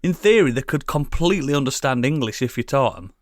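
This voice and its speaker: A man's voice: mid-range voice, expressive, fast talker, no echo, studio quality. A man hosting a casual podcast, teasing and sweary, with an incredulous way of talking. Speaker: podcast host. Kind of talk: casual banter.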